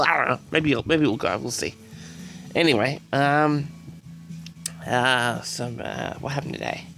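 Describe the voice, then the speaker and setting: A man with a mid-pitched voice full of fry hosts his audio log. He talks casually and hesitantly, circling back to repeat himself, with faint music behind him. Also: fairly bright tone. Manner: hesitant, repetitive, often addresses listeners directly. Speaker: host of a personal audio log. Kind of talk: rambling casual monologue to audience.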